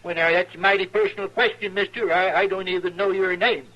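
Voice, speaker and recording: An actor playing a simple, earnest, talkative drifter with a husky rural Western American drawl in an old radio western. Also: male voice, sits high, fairly even intonation, fast talker, lightly acted. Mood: friendly, guileless. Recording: muffled old radio broadcast.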